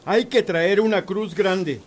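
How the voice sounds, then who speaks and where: An actor playing a devout village priest who leads prayers and casts out evil with solemn, commanding words. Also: male voice, high-pitched, slow pace, a little hiss or hum. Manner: solemn, prayerful, rising to fervent chanting. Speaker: priest performing an exorcism. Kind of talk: radio drama acting.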